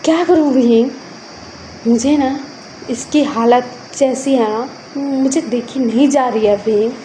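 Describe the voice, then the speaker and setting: Stubborn, anguished father in a told story, acted by a woman; high, mellow-toned, accusing, insistent. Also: slow, slight background noise, poor audio. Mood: anguished, reproachful. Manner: accusing, repeats questions insistently. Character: anguished, stubborn, protective parent, a man, quick to anger.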